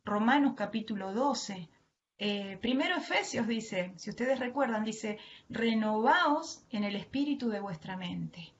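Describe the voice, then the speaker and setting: A woman's voice: a little high, expressive, a little slow. A woman with an Argentine Spanish accent giving a devotional teaching to other women, addressing her listeners earnestly and affectionately. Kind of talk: devotional sermon to an online audience. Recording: dull lo-fi online audio, faint noise.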